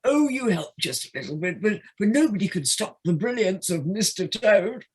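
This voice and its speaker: Woman playing a boastful toad, husky and animated. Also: mid-range voice, normal speed. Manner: self-important, exclamatory. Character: boastful, gullible, indignant male toad. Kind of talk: amateur audio play acting.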